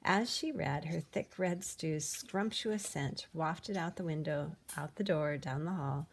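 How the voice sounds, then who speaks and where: A woman reading a children's picture book aloud in an expressive, animated way, with dramatic pauses. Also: low-pitched, gravelly. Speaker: storybook reader.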